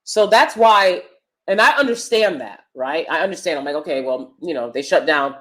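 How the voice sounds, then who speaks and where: Loud, emphatic woman commentator. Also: deep voice, expressive, normal speed. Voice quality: loud, projecting stage voice. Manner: emphatic, swings between loud and soft asides. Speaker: commentary vlogger. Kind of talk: opinion commentary to camera.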